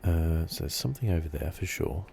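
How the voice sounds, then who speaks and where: A man giving relaxed, soft-spoken, low-key ASMR commentary as he plays a video game, close to the mic. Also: brisk, gravelly, slight background noise. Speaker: gaming ASMR host.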